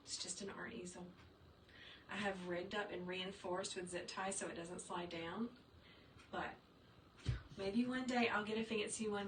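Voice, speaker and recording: A woman chatting upbeat and casual as she teaches wreath making in her home workshop, talking straight to viewers and answering their comments. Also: medium pitch. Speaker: wreath maker teaching a craft. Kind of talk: casual livestream tutorial reading viewer comments. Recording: roomy, distant sound with faint room noise.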